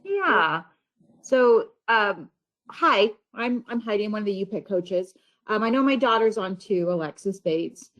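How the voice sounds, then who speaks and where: A middle-aged woman, a parent coach, hosting a panel on a video call, halting and trailing off softly. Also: medium pitch, lively intonation, poor audio. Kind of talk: online meeting chat.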